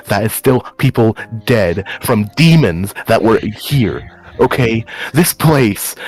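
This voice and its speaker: A man playing an angry, distraught, foul-mouthed demon slayer in an improvised anime roleplay, stammering and repeating words. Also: mid-range voice.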